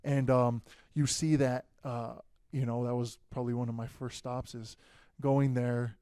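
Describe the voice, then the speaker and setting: Homem jovem contando histórias, voz escura. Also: male voice, medium pitch, unhurried, clear, resonant tone, dry recording, clean studio sound. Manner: relaxed storytelling, many fillers. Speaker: guest, future pastor. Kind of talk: casual podcast conversation.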